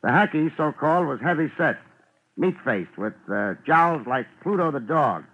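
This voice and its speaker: An actor playing a wisecracking, world-weary private investigator, narrating and trading lines in a dry, laid-back deadpan in a hard-boiled radio drama. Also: male voice, fairly high pitch, normal speed. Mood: wry, laid-back. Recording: muffled, noisy 1950s radio broadcast.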